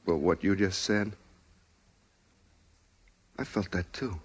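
A man's voice: steady tone, slow, husky, exaggerated voice. An American man playing a desperate, hard-boiled driver held at gunpoint in a 1940s radio drama, with a wry, pleading manner. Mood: subdued, weary. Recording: noisy 1940s radio transcription, engine rumble.

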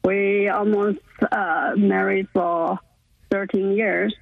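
A woman's voice: mid-range voice, level tone, takes it slowly, gravelly, husky, no room sound. A woman from China, a native Chinese speaker, talking hesitantly in careful English as a radio interview guest. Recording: dull lo-fi line.

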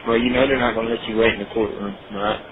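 A man's voice: medium pitch, steady tone, fast. Male, Southern US accent, guarded casual talker, heard through a thin, hissy phone call. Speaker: jailed defendant calling his girlfriend. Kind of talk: casual private phone chat. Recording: noisy, tinny jailhouse phone line.

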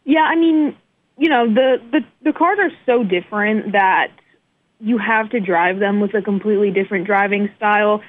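A young woman talks in an easy, unhurried way, with lots of ums and a modest tone. Her voice comes through a thin, tinny phone-like line. Her pitch sits in the middle range. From United States northeast. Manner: many fillers, relaxed and modest. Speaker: guest racing driver. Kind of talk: casual interview talk.

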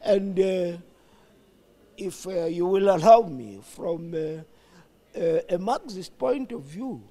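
Older male interview guest, South African accent, animated high voice with wide swings, halting and emphatic political reflection.

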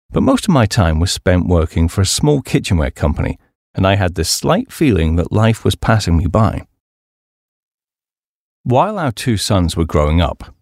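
A man with an English accent reads a humorous memoir as a scripted audiobook, in a measured, expressive storytelling manner. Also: low voice, no echo, clean studio sound. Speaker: audiobook narrator.

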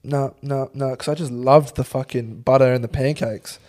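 A young man telling animated, sweary stories in casual podcast chat. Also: mid-range voice, normal speed. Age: young adult. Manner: animated, sweary, says "bro". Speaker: podcast co-host.

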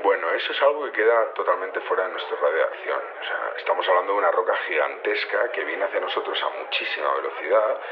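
An actor playing a nonchalant security chief on the telephone in a radio drama, talking colloquially and a little hesitantly. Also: male voice, low-pitched, expressive, fast talker, hardly any pauses, crowd noise. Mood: offhand, unbothered. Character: casual, unbothered male security chief phoning a report. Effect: telephone filter. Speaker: head of security. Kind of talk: phone interview in a radio drama. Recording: thin, narrow phone line.

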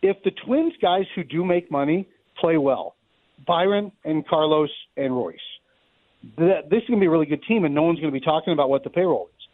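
Middle aged guy sports announcer calling into radio, highish voice, phoney thin line, chatty and wry. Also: fairly quick. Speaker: baseball play-by-play announcer, radio guest. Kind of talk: unscripted sports analysis in a live radio interview. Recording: roomy, thin narrow-band phone line.

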